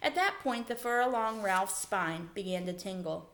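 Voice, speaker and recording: A woman reading a children's story aloud as a teacher, in expressive narration. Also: mid-range voice, normal speed.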